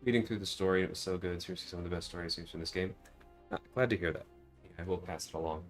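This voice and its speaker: A man's voice: low-pitched, racing, soft background music. A man hosting a casual gaming livestream, thinking aloud in a rambling way as he chats to viewers. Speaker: livestream host.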